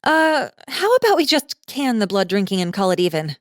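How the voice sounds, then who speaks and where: A woman's voice: mid-range voice, sing-song, moderate pace, no echo, studio quality, close to an everyday voice. A woman playing a wary, slangy young college girl in a dramatized fantasy audiobook, talking in a casual, hesitant and sarcastic way. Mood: nervous, wary. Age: young adult. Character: slangy, wary, awkward nineteen-year-old college girl.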